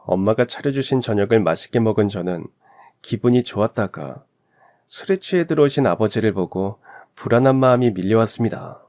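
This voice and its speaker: A man's voice: lowish voice, lively intonation, fairly quick, darkish tone. A man reading a scripted first-person story in the style of a radio drama. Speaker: narrator of a story channel.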